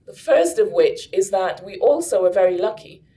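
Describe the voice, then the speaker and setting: A woman's voice: mid-range voice, sing-song, moderate pace, mellow. A woman hosting a story circle at a conference, explaining how it works in a friendly way with light jokes. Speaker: host. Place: conference room.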